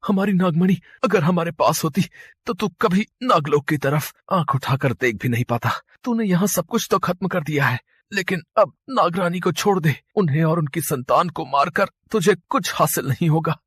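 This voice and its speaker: A man's voice: fairly high pitch, expressive, moderate pace, a bit bright, husky, no room sound, voice pushed up. A man voicing a loyal, devoted serpent army commander who pleads anxiously and urgently in a fantasy story. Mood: pleading.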